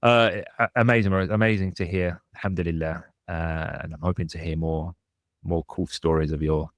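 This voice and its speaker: A man with a deep, low voice hosts a podcast in a friendly, chatty way. The recording is dry and close, with no room sound. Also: steady tone, normal speed, no room sound. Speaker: podcast host. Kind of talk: casual chat.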